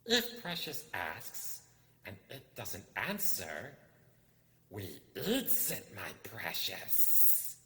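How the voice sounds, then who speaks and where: A man's voice: on the low side, wildly swooping pitch, pushed and bright, heavily acted. A man voicing a wretched, sneaky cave creature in a storybook reading, hissing and spluttering as he draws out his words. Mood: sly, menacing. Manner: slow, drawn-out muttering with sudden shrieks. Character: wretched, sneaky, hungry cave-dwelling creature, lonely and nasty. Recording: cavernous hall reverb.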